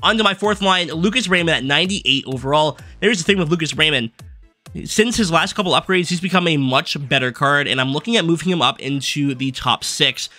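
A young man giving a casual, rambling rundown of his game team from his desk, his voice going hoarse as he is losing it. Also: fairly high pitch, pushed and bright, no room sound, soft background music. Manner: fast, rambling card-by-card rundown with fillers. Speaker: gaming YouTuber. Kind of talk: casual gameplay commentary. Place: small room at a desk.

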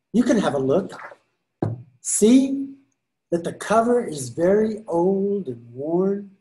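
An older man reading the part of the devil disguised as a friar, slow and coaxing, in a video call reading. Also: sits high, darkish tone, exaggerated voice, rough recording. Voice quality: slow, drawn-out, coaxing delivery. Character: devil posing as a sweet-talking friar, male. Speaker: reader in readers theater. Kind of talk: amateur readers theater over video call. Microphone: video call webcam.

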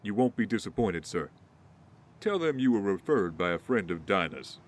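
Low-voiced, principled ex-Marine played by a man. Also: big pitch swings, normal speed. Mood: kind, earnest. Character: principled male ex-Marine, guarded, righteously indignant. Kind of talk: audio drama acting.

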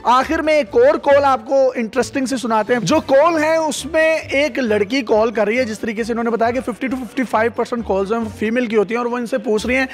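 Very high-pitched Pakistani male reporter. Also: hardly any pauses. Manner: playful, teasing. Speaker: reporter hosting a segment. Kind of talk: presenting to camera, interviewing. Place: emergency call-centre control room. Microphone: handheld reporter microphone. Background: background music.

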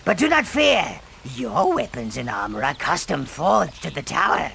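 A man playing an old, fawning, evil goblin advisor in a video game, with a gravelly voice and theatrical, obsequious delivery. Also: fairly high pitch, huge pitch swings, normal speed, bright, forceful tone, full character voice. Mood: sly, reassuring. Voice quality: gravelly, creaky. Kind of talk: scripted video game character acting. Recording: game ambience noise behind voice.

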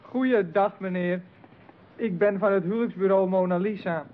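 Casting: male actor for courteous, slightly cheeky delivery man in radio drama; high pitch, narrow vintage sound. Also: fairly even intonation, normal speed, lightly acted. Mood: polite. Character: polite deliveryman from a marriage bureau. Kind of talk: Dutch-language radio play acting. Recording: noisy, tinny old radio recording.

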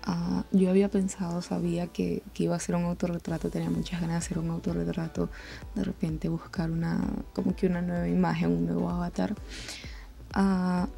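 A woman's voice: lowish voice, level tone, fairly quick, vocal fry, dark tone. A woman in her twenties with a Venezuelan accent, chatting casually and ramblingly in an informal voice-over. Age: 20s. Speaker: art channel host. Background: music.

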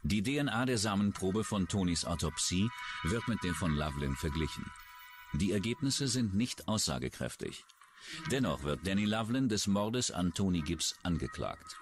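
Male narrator for true-crime documentary, low register, music underneath. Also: lively intonation, normal speed. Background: background music.

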